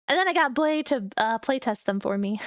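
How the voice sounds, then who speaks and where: A young adult woman streamer chatting casually with her viewers, relaxed and chatty, with frequent giggles. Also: sits high, dry recording, studio quality. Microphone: studio microphone.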